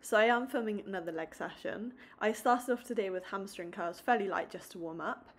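A young woman vlogging about her day and workouts, chatting upbeat to a close phone camera, then calmly explaining each exercise in a voice-over. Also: medium pitch, a little fast. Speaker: fitness vlogger. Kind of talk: casual vlog chat and workout voice-over.

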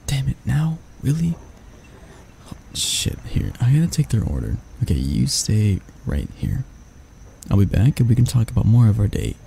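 A man playing a grumpy but soft-hearted barista, flustered and flirty, stammering and teasing in a romantic ASMR roleplay. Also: medium pitch, sing-song, measured pace, creaky, a little hiss or hum. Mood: flirty, reluctant.

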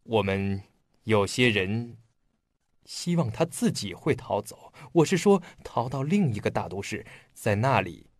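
An actor playing an earnest, polite young police detective in a radio drama. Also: male voice, on the low side, sing-song, a little slow, dry recording, studio quality. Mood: grave, speculative.

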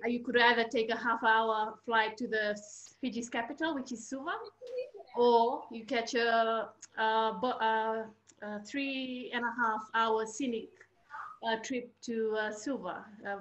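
Echoey, higher-voiced Fijian woman in her fifties. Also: fairly even intonation, a bit bright, muffled recording. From Fiji. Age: 50s. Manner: hesitant, halting, frequent pauses. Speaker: guest speaker, architecture researcher. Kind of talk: informal online talk, personal story. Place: small indoor room at home. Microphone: computer mic on video call. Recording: roomy, echoey video-call sound.

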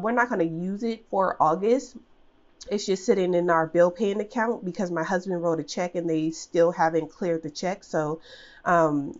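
A woman's voice: lowish voice, a little mellow, lo-fi sound. A woman in her thirties with a stuffy head cold, casually talking through her budget planner with lots of ums and restarts. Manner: rambling, many ums and restarts. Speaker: budgeting channel host. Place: at home, over a desk. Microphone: camera above the desk, speaker off screen.

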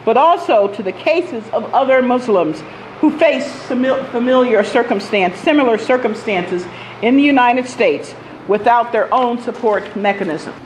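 A woman's voice: fairly high pitch, lively intonation, normal speed, darkish tone, slight background noise. An older woman reading a speaker's introduction from notes at a podium in a community center hall. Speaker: moderator. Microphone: podium mic.

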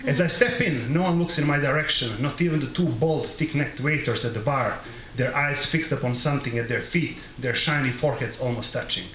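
A middle-aged man from the former Yugoslavia reading his literary short story aloud at a microphone, in a measured, deliberate and wry way. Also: medium pitch, fairly bright tone. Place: small bar with brick wall, indoors. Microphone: close microphone on a stand. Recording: roomy live recording, background noise.

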